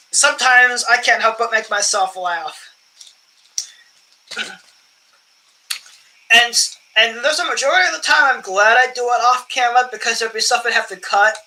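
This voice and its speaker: A young man with a higher voice for a man chats casually while sorting his coin collection, amused at his own stories and often clearing his throat. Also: bright, forceful tone, poor audio. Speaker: coin collecting channel host. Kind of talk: casual rambling vlog chat while sorting coins.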